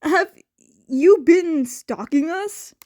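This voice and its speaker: A woman's voice: extremely high pitch, expressive, drawn-out words, warm tone, pitched above the performer's own voice, full character voice. A woman voicing a wary, confused teenage boy in a guarded, challenging manner for an audio story. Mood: suspicious.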